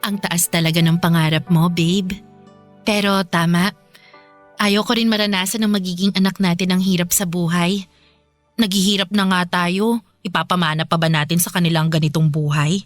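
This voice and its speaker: A woman's voice: lowish voice, steady tone, moderate pace, no echo, close to an everyday voice. An actress playing a brash, streetwise young Filipina girlfriend in a radio drama, assertive and exasperated. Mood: softening, pragmatic. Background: faint music.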